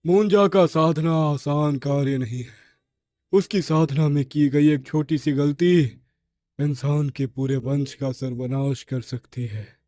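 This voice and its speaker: A man voicing a grave old village tantrik in an animated horror story, speaking in slow, foreboding, dramatic pronouncements. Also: a little high, no room sound, clean studio sound. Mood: stern, accusing.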